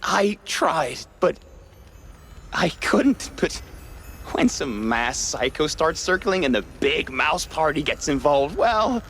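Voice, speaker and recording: A man voicing a cynical, guilt-ridden rich mouse in an animated noir game, with a theatrical, evasive, hesitant delivery. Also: on the high side, takes it slowly, slight background noise, exaggerated voice. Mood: defensive.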